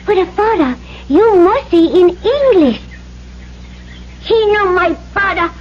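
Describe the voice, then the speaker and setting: Sweet young polynesian bride, really high voice, slow broken english, old radio play. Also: female voice, lively intonation, full character voice. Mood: earnest, gentle. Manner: simple broken English mixed with island words. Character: gentle young Polynesian island bride, devoted and trusting. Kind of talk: old-time radio drama acting. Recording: muffled old radio broadcast, faint hum.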